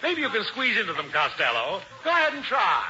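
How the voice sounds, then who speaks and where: An actor playing a wisecracking, excitable, zany store salesman in a 1940s radio comedy. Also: high-pitched, a little fast. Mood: jokey. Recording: muffled, lightly noisy old radio broadcast.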